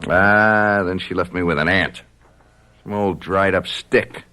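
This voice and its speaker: A man's voice: deep voice, steady tone, slow, pushed and bright, exaggerated voice. An actor playing a brash, troubled young college man in a radio drama, with slangy, stammering, defensive speech. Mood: agitated, bitter. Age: young adult.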